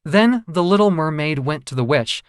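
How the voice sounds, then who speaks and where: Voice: medium pitch, expressive, moderate pace, clear, resonant tone, no room sound, studio quality. A storyteller narrating a children's fairy tale from a script.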